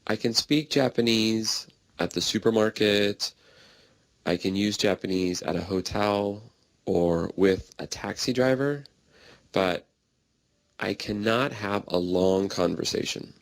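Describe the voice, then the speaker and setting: A man speaking in plain, simple sentences in a slow conversation practice recording. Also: fairly low pitch, gravelly, dry recording. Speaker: English learner in a practice dialogue.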